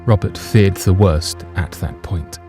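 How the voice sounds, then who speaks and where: A man's voice: deep voice, measured pace, music in the background. A man narrating a scripted audio drama in a grave, theatrical storytelling manner.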